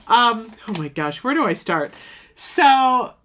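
A woman's voice: fairly high pitch, very animated, slow pace, fairly bright tone. Chatty woman in her fifties vlogging. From United States. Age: 50s. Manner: chatty and nostalgic, many "oh my gosh" and "um". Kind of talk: casual chat to camera. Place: small home room with shelves.

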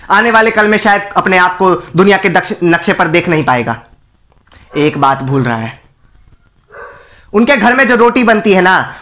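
A man playing a defiant condemned man who bitterly berates an apathetic crowd in a theatrical, scornful film-style monologue with emphatic pauses. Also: high voice, sharp tone. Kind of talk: dramatic Hindi film monologue recital.

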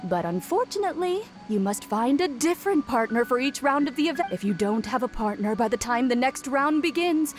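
A woman's voice: high voice, big pitch swings, a little fast, few pauses, soft background music. A woman voicing a mysterious shrine maiden with a theatrical, coolly taunting and sinister manner in an anime video game. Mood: composed, official.

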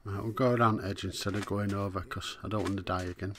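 A man with a hoarse, coughing voice, casually muttering his way through a video game as he plays. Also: lowish voice, fairly even intonation, fast talker, a little hiss or hum. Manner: muttering to himself, easygoing, frequent "right". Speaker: let's play host. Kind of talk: casual gameplay commentary.

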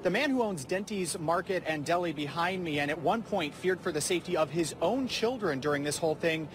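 A male reporter gives a live news report from an outdoor location. His voice sits a little high, and the delivery is measured and scripted. Faint outdoor noise runs underneath. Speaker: field reporter.

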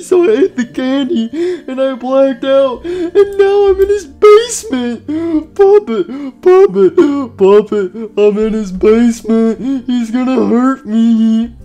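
Slow, dim-witted, whiny cartoon bear. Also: mid-range voice, warm tone, muffled recording. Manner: slow, simple-minded speech with wailing outbursts. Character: dim, whiny, childlike male animatronic bear, dazed and melodramatic.

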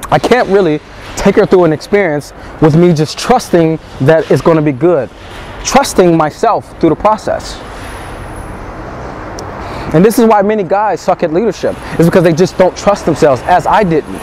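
Young man talking over loud street traffic. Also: fairly high pitch, lively intonation, moderate pace. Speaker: dating coach. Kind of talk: street pickup talk and to-camera advice. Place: busy city square outdoors. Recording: street noise and traffic behind.

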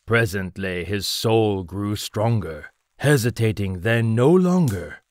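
An actor playing a solemn, brooding narrator of a gothic poem in an audio drama, measured and theatrical. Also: male voice, fairly low pitch, animated, no echo. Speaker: story narrator.

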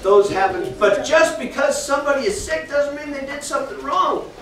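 A middle-aged man teaching a children's Bible lesson, animated and talking straight to the kids, often repeating his phrases. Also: very high voice, moderate pace. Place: large classroom with kids seated. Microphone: far camera, no mic seen. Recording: echoey room from far off, kids chattering.

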